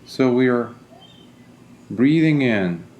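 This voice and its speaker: A man leading a guided meditation as a teacher, speaking in a gentle, deliberate, soothing manner. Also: medium pitch, expressive, highs cut off, slight background noise.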